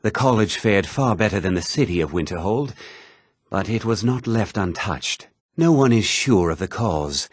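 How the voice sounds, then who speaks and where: An actor voicing a learned, haughty mage scholar in a fantasy video game, speaking formally and with measured, precise diction. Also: male voice, lowish voice, animated, husky, no room sound, clean studio sound. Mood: grave, scholarly. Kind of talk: scripted fantasy game dialogue.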